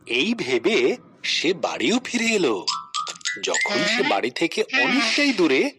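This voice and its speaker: A man narrating a scripted Bible story for children in a dramatic, expressive way. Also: on the high side, takes it slowly. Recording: clearly noisy background.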